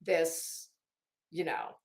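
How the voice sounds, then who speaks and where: A woman ranting casually as an online host, blunt and sarcastic, swearing freely and halting to stretch words for emphasis. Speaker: YouTube host. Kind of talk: casual livestream rant. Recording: echoey room.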